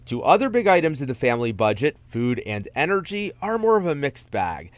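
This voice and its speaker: A man's voice: a little high, very animated, fairly quick. A man narrating a news package as reporter, wry and playful.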